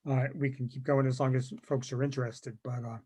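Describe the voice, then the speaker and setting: A man's voice: mid-range voice, little pitch movement, brisk, darkish tone, slightly rough. A man casually hosting a meeting over a video call. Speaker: meeting host. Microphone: video call microphone.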